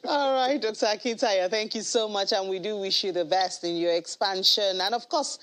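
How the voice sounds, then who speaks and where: A woman news anchor with a mid-range voice steers a live TV interview briskly and politely. She moves smoothly toward the next segment. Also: medium pitch, a bit bright.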